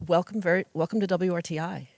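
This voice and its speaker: A woman hosting a live radio interview from a performance studio, asking questions briskly. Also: fairly low pitch, fairly even intonation, noisy background. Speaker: radio host.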